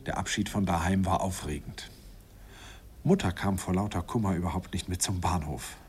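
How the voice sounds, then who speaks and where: A man playing a middle-aged storyteller looking back on his youth in a 1950s radio play. The voice is low and reflective, with noise from an old recording behind it. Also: normal speed, darkish tone, natural voice. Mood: wistful, amused. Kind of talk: first-person radio play narration, 1950s.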